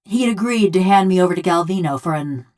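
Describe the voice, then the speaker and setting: A woman's voice: lowish voice, animated, quick pace, a little mellow, natural voice. An actress playing a bitter, vengeful female killer trapped in a metal body, speaking folksy rustic game dialogue in a wry, brooding way. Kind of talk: scripted video game character dialogue.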